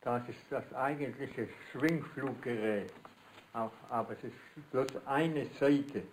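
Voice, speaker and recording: An older man with a Swabian accent from southern Germany, explaining informally as an amateur inventor in halting, repetitive phrases. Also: medium pitch, a little hiss or hum, low-quality recording.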